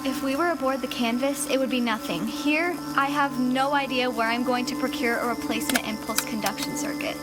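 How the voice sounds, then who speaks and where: A woman plays a careful, rule-bound alien engineer in an audio drama. Her voice is high, with formal and fussily precise phrasing, over a loud music bed. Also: steady tone, fairly quick, few pauses, natural voice. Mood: anxious. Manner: formal, precise, pedantic wording.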